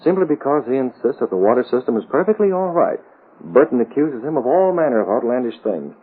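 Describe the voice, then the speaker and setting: An actor playing a level-headed newspaper publisher in a 1930s radio drama, clipped, probing and authoritative. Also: male voice, mid-range voice, big pitch swings, fast talker, breathy edge. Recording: phone-like old radio, faint hiss.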